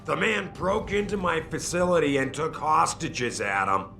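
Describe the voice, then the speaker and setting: A blunt, demanding company boss talks in a brusque, direct way with lively swings of pitch. He is a character in a story-driven video game. Music plays under his voice. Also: normal speed, full character voice. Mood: stern.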